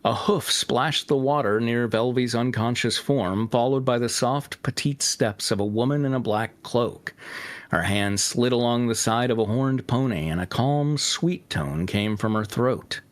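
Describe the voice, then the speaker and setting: Male narrator, fantasy fan fiction reading, medium pitch, very dry close sound. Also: measured pace, dry recording. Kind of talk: scripted story narration with joking asides.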